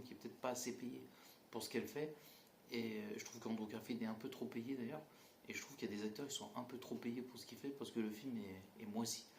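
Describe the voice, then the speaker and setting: Guy ranting about movies, blunt and jokey, lots of "euh", echoey room w faint hum behind. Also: mid-range voice. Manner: blunt, mocking, many fillers and restarts. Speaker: movie reviewer. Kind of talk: casual spoken film critique. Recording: roomy echo, faint ambient noise.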